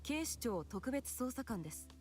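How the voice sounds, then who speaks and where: A woman's voice: fairly high pitch, sing-song, fast, a little mellow, faint music behind. An actress playing a poised, firm female special agent in a visual novel, formal and matter-of-fact. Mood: businesslike. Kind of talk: Japanese visual novel acting.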